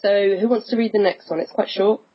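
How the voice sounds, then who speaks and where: A woman's voice: medium pitch, steady tone, brisk, slightly rough. A woman with a New Zealand accent, co-hosting a podcast in a giggly, casual way and leading the segments. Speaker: podcast co-host. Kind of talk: casual chat. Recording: muffled lo-fi podcast audio.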